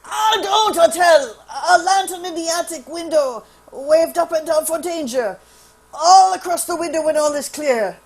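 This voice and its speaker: A woman as a high-voiced, nervous, cowed citizen. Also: animated, measured pace, heavily acted, low-quality recording. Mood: pained. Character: cowed, reluctant French citizen forced to talk. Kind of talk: amateur radio play table read. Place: remote virtual table read.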